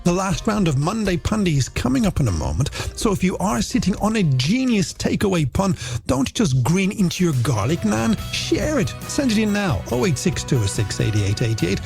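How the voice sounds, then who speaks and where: A man's voice: high voice, sing-song, moderate pace, vocal fry, a little mellow. A middle-aged man, presenting a late-night music radio show in a playful, theatrical, polished way on a studio microphone. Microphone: close studio broadcast microphone. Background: music bed.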